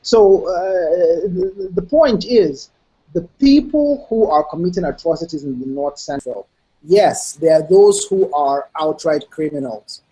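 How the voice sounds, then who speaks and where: A middle-aged man answering as a guest in a television interview, speaking in a measured, analytical way with frequent pauses. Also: fairly high pitch, expressive, mellow, husky, rough recording. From Nigeria.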